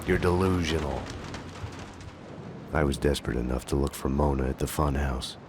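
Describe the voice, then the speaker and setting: An actor playing a world-weary hard-boiled detective, narrating a noir game in a grim deadpan. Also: male voice, extremely low pitch, moderate pace, noisy background. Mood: brooding, grim. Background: music.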